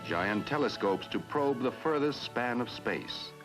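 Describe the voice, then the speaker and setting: Grand 1950s educational film narrator. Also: male voice, mid-range voice, expressive, a little slow. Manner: grand, dramatic delivery. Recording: old film soundtrack with loud music.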